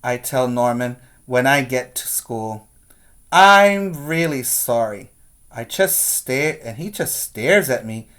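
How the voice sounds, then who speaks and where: A man working as a preschool teacher, reading a picture book aloud to young children with expressive emphasis and asides. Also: medium pitch.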